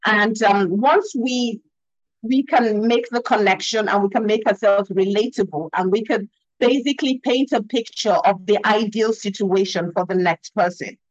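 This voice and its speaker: A woman's voice: mid-range voice, normal speed, dry recording. A woman from Nigeria with a Nigerian accent, a communication expert explaining her ideas earnestly on a video call, often tagging "yeah?" onto her points. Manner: earnest, emphatic, frequent "yeah?" tags. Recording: dull, slightly lo-fi dry sound.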